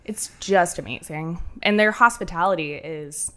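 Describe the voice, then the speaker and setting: A woman bartender with a nasal voice, chatting casually on a podcast and telling animated stories with quoted asides. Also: lowish voice, normal speed, slight background noise. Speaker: bartender and cocktail menu creator.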